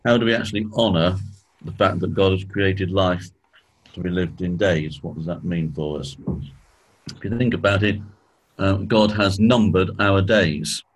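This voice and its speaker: A man with a croaky voice, clearing his throat often as he leads a church study session, slowing down on key points. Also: deep voice, steady tone, low-quality recording. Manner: measured, slows down on key points. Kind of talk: spoken teaching talk.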